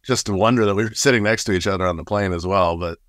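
A man in his forties who grew up in Nepal, a guest telling animated stories with frequent laughs over a webcam from a small room. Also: low-pitched, fast, no room sound, studio quality. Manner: animated, laughs often, gestures broadly. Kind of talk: casual storytelling. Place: small room with paper screens. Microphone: video call webcam.

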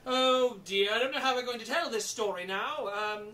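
Bumbling, excitable children's theatre storyteller, played by a stage performer, very bright voice, coaxing and stammering, faint noise behind. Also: medium pitch, normal speed, recorded from a distance, close to an everyday voice. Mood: flustered, sheepish. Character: Lenny, an eager, flustered, bumbling children's show storyteller. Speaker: performer in an interactive children's show.

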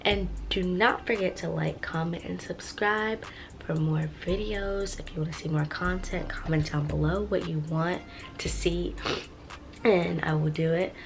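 A woman vlogging casually on a phone held at arm's length in her bedroom, chatty and upbeat, her voice a little rough from just waking up. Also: fairly low pitch, fairly even intonation, measured pace, music in the background. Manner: chatty, upbeat, lots of filler ums. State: slightly rough, just woken up. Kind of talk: casual vlog chat. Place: bedroom at home. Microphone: phone camera at arm's length.

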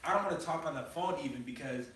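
Young man of about twenty, bright and a touch high, hesitant casual talk, roomy off-mic sound with faint background hum. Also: fast talker, distant mic. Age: 20s. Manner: hesitant, repeats himself. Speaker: male model and musician. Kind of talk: casual chat. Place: photo studio in a garage, indoors. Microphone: phone camera a few feet away.

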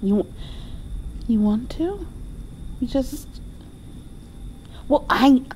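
A woman playing a doting, playful mother comforting her grown child in an intimate ASMR roleplay, softly and affectionately. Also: high-pitched, lively intonation, slight background noise, heavily acted, rough recording. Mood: playful, cozy. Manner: soft, affectionate, slow with gentle laughs.

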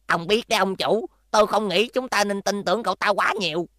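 A voice actor playing a sly, gleeful henchman in a cartoon dub, slow and wheedling. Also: mid-range voice, pushed and bright, no echo, studio quality, natural voice. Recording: music behind voice.

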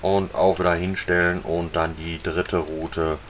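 A man casually talking viewers through a fishing video game, relaxed and chatty. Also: deep voice, measured pace, a little hiss or hum. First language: German. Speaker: gaming channel host. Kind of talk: casual let's-play commentary.

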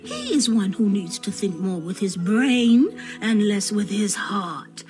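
A woman's voice: mid-range voice, sing-song, slow pace. An actress voicing a bossy, judgmental old woman who sells potions in an adventure game, with dry theatrical delivery. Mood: appraising. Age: older. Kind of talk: animated adventure game voice acting. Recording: music playing behind the dialogue.

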